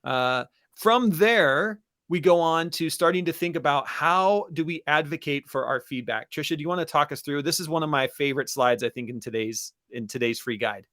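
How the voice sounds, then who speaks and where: A man's voice: fairly high pitch, moderate pace, a bit bright, dry recording, studio quality. A man co-hosting an educational webinar in a conversational, enthusiastic style.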